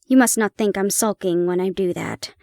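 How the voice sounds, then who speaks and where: A woman's voice: mid-range voice, lively intonation, moderate pace, gravelly, darkish tone, dry recording, clean studio sound, voice pushed down. A woman voicing a clever, eccentric male detective in a read-aloud storybook. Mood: brisk.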